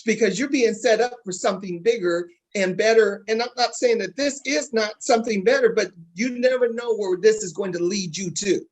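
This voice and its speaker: A man's voice: sits high, moderate pace, few pauses. A man hosting a casual panel interview, often chiming in with affirming words. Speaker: host interviewing stage play cast.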